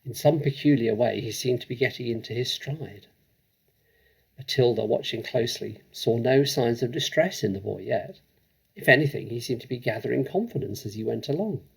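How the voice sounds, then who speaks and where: A man narrating a children's story expressively, with dramatic, measured delivery. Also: mid-range voice, vocal fry, slightly rough, low-quality recording. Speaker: storybook reader.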